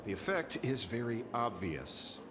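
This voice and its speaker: A wry, slow, swooping game character. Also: male voice, mid-range voice, big pitch swings, background noise. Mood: amused, approving. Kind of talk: scripted video game voice acting. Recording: loud music under the voice.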